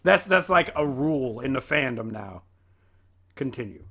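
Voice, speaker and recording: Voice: medium pitch, expressive, moderate pace, creaky, sharp tone. A reaction video host giving casual, slangy, exclamatory commentary.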